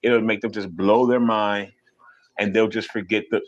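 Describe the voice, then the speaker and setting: A man ranting on a casual live stream, animated and profane, mocking people with sudden high mimicking voices. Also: moderate pace, lo-fi sound.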